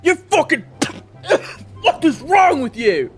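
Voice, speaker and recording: A man's voice: very high-pitched, very animated, slow pace, soft background music, heavily acted. A man playing a foul-mouthed, defensive widowed villager in an audio roleplay, given to indignant swearing. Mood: disgusted fury.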